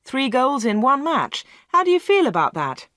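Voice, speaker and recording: A woman's voice: sits high, big pitch swings, moderate pace, fairly bright tone, no room sound, voice pushed up. Brief: woman reading as an upbeat TV sports interviewer, dry close read.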